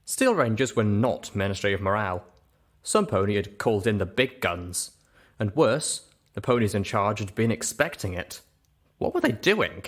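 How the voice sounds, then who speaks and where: A man's voice: lowish voice, big pitch swings, moderate pace. A man narrating a story expressively as host of a reading show.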